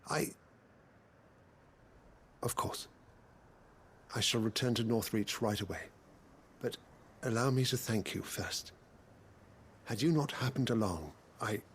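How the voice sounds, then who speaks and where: A courteous investigator in a fantasy game. His voice is medium in pitch, over faint background noise. He speaks formally and earnestly, with dutiful worry. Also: takes it slowly, pauses often. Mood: grateful, courteous. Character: polite, dutiful male investigator, formal and worried. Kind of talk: video game voice acting.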